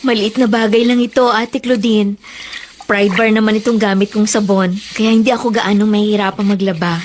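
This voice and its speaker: A woman's voice: mid-range voice, steady tone, brisk, noisy background, lightly acted. A woman with a Filipino accent playing a meek but resolute young mother-to-be in a radio drama, speaking politely. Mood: apologetic. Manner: polite, apologetic.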